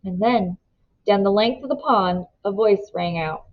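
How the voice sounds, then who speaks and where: A woman narrating a novel aloud in an expressive storybook reading style. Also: medium pitch, a little slow, highs cut off.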